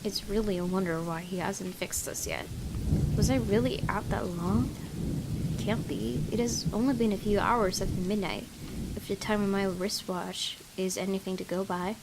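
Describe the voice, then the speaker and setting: Gamer girl reading visual novel text out loud, normal pitched voice, expressive, noticeable noise behind her. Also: moderate pace. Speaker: gaming video host. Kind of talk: reading visual novel narration aloud, in character. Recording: clear background noise.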